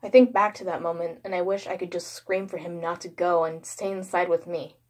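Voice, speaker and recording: A woman with a somewhat low voice reads a horror story. She narrates in the first person as a creepypasta storyteller. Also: steady tone, fairly quick.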